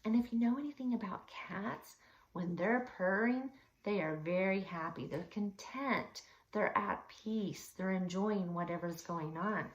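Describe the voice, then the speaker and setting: A woman reading a children's story aloud expressively, with deliberate, dramatic emphasis for young listeners. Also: medium pitch, muffled recording. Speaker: read-aloud storyteller for schoolchildren. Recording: echoey room, slightly distant mic.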